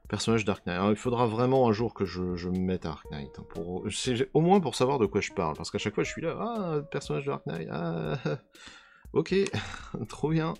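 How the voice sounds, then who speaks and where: A man with a hoarse voice casually commenting as he unboxes trading cards, often trailing off while he tries to recall names. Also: medium pitch, animated, moderate pace, darkish tone. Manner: musing, trails off hunting for names. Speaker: card unboxing video host.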